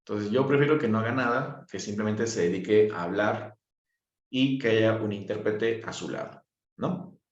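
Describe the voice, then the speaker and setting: A man lectures students in a low voice, laying out his points one step at a time. He keeps tossing in short tag questions to check that they follow. The sound is roomy and a bit muffled, like a lo-fi classroom recording. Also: normal speed. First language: sign language. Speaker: sign language teacher. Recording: echoey, dull lo-fi sound.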